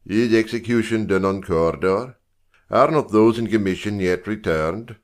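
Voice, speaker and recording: Man as older king, regal, gracious, Shakespearean, fairly low pitch. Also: measured pace, close to an everyday voice. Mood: regal, regretful. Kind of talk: dramatic Shakespeare reading.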